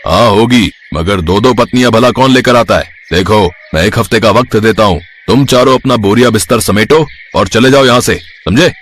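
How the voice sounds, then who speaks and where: A man's voice: on the low side, normal speed, hardly any pauses, a bit bright. A man acting a grumpy, commanding landlord. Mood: indignant, threatening. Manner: stern, commanding. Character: grumpy, unyielding male landlord. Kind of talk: dramatized Hindi moral story.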